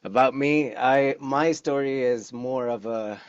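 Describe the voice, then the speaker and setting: A middle-aged man from Pakistan telling his personal story candidly and informally, with halting pauses, on a video call. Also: mid-range voice, clean tone, no room sound. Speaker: guest speaker. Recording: dull, dry video-call audio.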